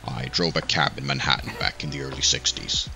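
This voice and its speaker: A man voicing a calm, kindly old werewolf with a rumbling, gravelly voice in a fan-dubbed visual novel. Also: a little fast, heavily acted. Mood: calm, friendly. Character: gruff, kindly old werewolf man, hospital admissions officer. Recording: music behind the voice.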